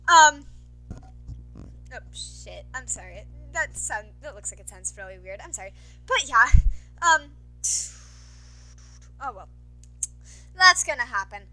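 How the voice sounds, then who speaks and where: A woman's voice: extremely high pitch, sharp tone, low-quality recording. A young adult woman chatting casually to her listeners in a scattered, effusive, apologetic way, like an informal update from a podfic reader.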